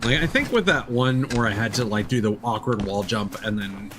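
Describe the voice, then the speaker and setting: A man's voice: on the low side, animated, normal speed, noisy background. A man doing casual live gameplay commentary, rambling as he thinks aloud. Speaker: streamer.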